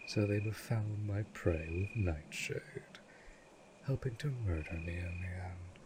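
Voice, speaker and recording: A man's voice: deep voice, warm tone, a little hiss or hum. A man voicing a cold, imperious centuries-old vampire lord in an audio roleplay drama, speaking formally and with disdain. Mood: scornful, aloof.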